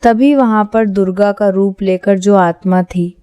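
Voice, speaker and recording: A woman's voice: mid-range voice, fairly even intonation, unhurried, dark tone, clear, resonant tone, no echo. A woman giving scripted narration of a horror story.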